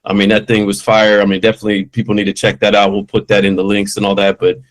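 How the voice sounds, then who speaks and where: A man's voice: lowish voice, completely flat delivery, quick pace. A man hosting a podcast who asks long, rambling, enthusiastic questions. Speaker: podcast host. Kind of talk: casual interview chat.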